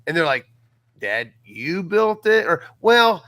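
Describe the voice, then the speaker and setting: A middle-aged man in casual podcast conversation, telling things in an earnest, folksy, animated way. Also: high voice, slow, no room sound. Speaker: podcast guest and audio series creator.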